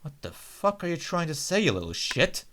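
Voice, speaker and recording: A man voicing a brooding, aggressive high school tough guy in a visual novel, confrontational and foul-mouthed. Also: very animated, normal speed, fairly bright tone, slight background noise. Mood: angry, threatening. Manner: confrontational, profane.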